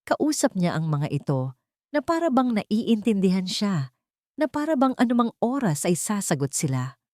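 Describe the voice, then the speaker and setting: A woman with a Filipino accent narrating a dramatic audiobook story in a measured, expressive way, phrase by phrase. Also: medium pitch, dry recording, clean studio sound.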